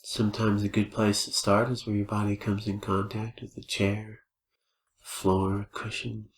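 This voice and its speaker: Gravelly man guiding meditation in echoey room. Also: fairly low pitch, flat delivery. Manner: gentle, unhurried invitations with pauses. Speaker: meditation teacher. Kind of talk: guided meditation. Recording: roomy, echoing space.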